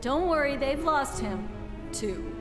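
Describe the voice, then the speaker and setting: An actress playing a calm, commanding senior angel in a 1940s-style radio play. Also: female voice, high-pitched, slow pace, over music. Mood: firm, reassuring.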